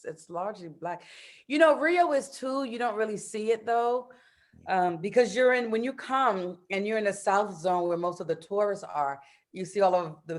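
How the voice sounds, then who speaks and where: An American woman in her thirties chatting in an interview, animated, laughing often and using plenty of fillers. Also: medium pitch. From United States. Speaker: interview guest, expat in Brazil. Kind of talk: casual interview chat.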